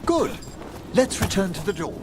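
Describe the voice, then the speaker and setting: A man playing a courtly, scholarly necropolis mage in a fantasy game, speaking formally and eloquently in measured phrases. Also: high voice, big pitch swings, noisy background. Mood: grave, guiding. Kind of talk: fantasy video game voice acting. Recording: game music behind the voice.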